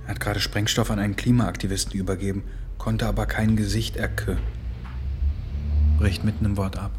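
A man's voice: on the low side, level tone, brisk, lightly acted. An actor playing a concerned, dryly disapproving male police detective in a German radio crime drama. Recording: music underneath.

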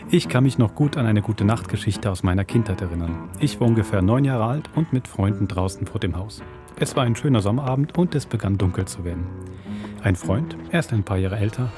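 A man with a Swiss German accent presenting and reading aloud as a podcast host. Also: fairly low pitch. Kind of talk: presenting credits, reading listener stories, chatting. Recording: loud music under the voice.

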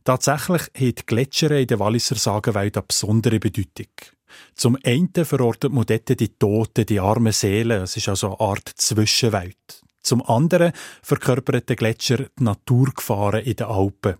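A man's voice: on the low side, moderate pace, a little mellow, no echo, clean studio sound. A man with a Swiss German accent reading scripted narration as a radio reporter.

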